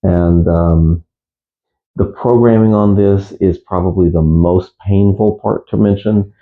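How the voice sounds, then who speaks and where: Deep-voiced man, halting and matter-of-fact. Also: fairly even intonation, phone-quality sound. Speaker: amateur radio hobbyist presenter. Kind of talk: casual gear review.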